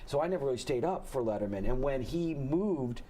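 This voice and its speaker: Animated man in his forties telling stories. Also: medium pitch, a little hiss or hum. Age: 40s. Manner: animated storytelling, quick restarts. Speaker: guest comedian. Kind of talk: casual radio chat.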